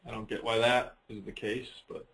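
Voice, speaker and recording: A man giving a casual, unscripted software walkthrough on a conference call, rambling a little and thinking aloud as he presents. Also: on the low side, flat delivery, moderate pace, gravelly, slight background noise, poor audio.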